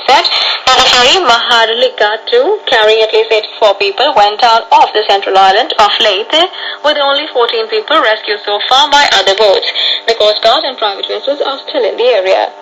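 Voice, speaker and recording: Female newsreader, Indian accent, higher-pitched, scripted bulletin delivery, faintly noisy shortwave broadcast. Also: a bit bright. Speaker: radio newsreader. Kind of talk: scripted news bulletin reading.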